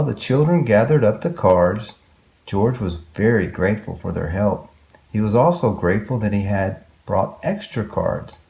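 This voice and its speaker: A man's voice: fairly low pitch, gravelly, warm tone. A man reading a children's story aloud expressively, with exclamations and wondering asides to young listeners.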